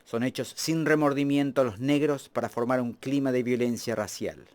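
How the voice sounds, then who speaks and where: A man's voice: mid-range voice, quick pace. A man delivering a formal, scripted translation of a political speech.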